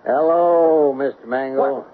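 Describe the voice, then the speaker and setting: An actor playing a cool, authoritative FBI agent in a 1970s radio drama. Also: male voice, mid-range voice, fairly even intonation, takes it slowly, narrow, tinny audio, close to an everyday voice. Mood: cool authority. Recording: thin, noisy 1970s radio broadcast.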